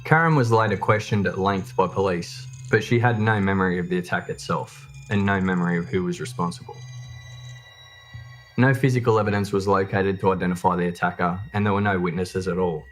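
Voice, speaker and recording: A man narrates a true crime documentary in a very low voice. His delivery is scripted storytelling, with music playing clearly behind him. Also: a little fast. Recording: music bed under the voice. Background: background music.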